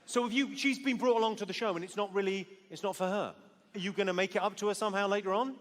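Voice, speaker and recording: High-voiced man mocking the crowd. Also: steady tone, fast, fairly bright tone. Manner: crude, mocking. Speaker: stand-up comedian. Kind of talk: crowd work. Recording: noisy hall.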